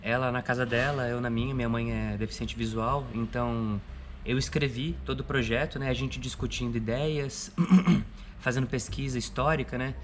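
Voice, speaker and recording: A man's voice: mid-range voice, steady tone, normal speed, a little hiss or hum. A Brazilian man, a cultural producer, talking casually in a making-of interview and often tagging phrases with little checks. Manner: frequent "né" tags. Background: background music.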